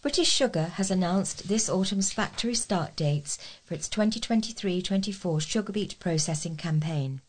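Woman, slightly low pitch, scripted local news reading, volunteer newsreader. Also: lively intonation, normal speed, few pauses.

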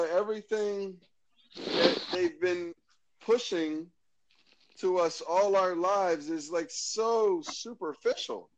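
An American man from Maryland, a guest in a casual interview, talking in a rambling, halting way. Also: high-pitched, rough recording. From Maryland, USA. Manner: rambling, halting, lots of fillers and restarts.

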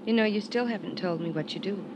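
An actress playing a grieving young woman of means in a 1950s radio drama, soft, tender and halting. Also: medium pitch, natural voice. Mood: curious, coaxing. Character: grieving, grateful young heiress, softly affectionate. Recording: very noisy old radio recording, vehicle drone.

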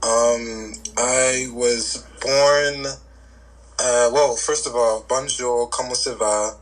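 A man's voice: mid-range voice, steady tone, very slow, low-quality recording. A young man guesting on a podcast, bantering in casual chat with profane, mock-serious jokes.

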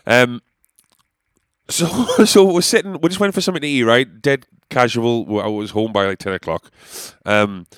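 A man's voice: medium pitch, measured pace, a bit bright, clean tone, no echo, clean studio sound. A man hosting his own podcast, chatting in a rambling, sweary, self-deprecating way with a stuffy nose. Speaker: podcast host, comedian and musician. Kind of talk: casual rambling monologue.

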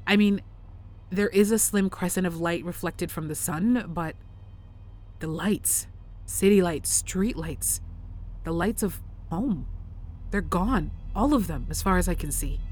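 A woman's voice: lowish voice, a little slow, a little mellow, slight background noise, lightly acted. A woman playing a worried, professional lunar engineer in an audio drama, formal but uneasy as she records messages and logs. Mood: shaken, mournful. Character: worried, professional lunar mining lead engineer, long isolated. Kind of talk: audio drama radio calls and log.

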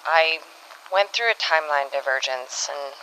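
A woman's voice: sits low, pushed and bright, a little hiss or hum, low-quality recording. A woman playing a weary time-travelling agent, recording a hesitant field log in an improvised audio drama. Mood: shaken, weary. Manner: halting, hesitant, searching for words.

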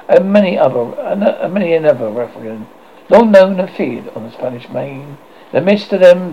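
Male narrator, expressive storybook reading, gravelly, slightly high voice, lo-fi recording. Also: slight background noise. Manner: expressive storytelling with lively swings in pitch and occasional slips. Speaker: audiobook narrator. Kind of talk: reading a classic children's novel aloud.

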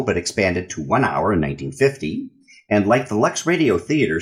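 A man with a fairly low voice co-hosts a radio program. He presents informational notes and slips into casual banter. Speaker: radio show co-host.

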